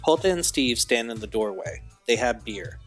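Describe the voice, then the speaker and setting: A man's voice: medium pitch, animated, moderate pace. A man giving scripted narration for an audio drama. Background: music bed.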